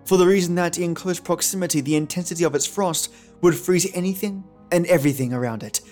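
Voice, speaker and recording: Guy hosting a podcast, kinda high voice, tells stories dramatically with music underneath. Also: fast, a little mellow. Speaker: podcast host. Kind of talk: scripted narration and commentary. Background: background music with guitar.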